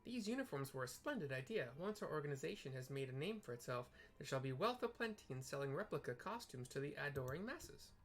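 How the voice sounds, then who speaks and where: A man's voice: fairly high pitch, big pitch swings, fast, hardly any pauses, a bit bright. A man voicing a small, self-assured, money-minded fantasy game recruit with formal, lofty declaiming. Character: self-assured, mercenary small Lalafell recruit in pirate-captain garb.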